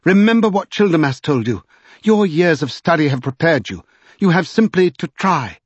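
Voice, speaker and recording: A man's voice: medium pitch, a little fast, dry recording, lo-fi sound, pitched above the performer's own voice, lightly acted. A man voicing a strong-willed, urgent aristocratic lady in an audiobook, with formal, emphatic old-fashioned speech. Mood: urgent, commanding.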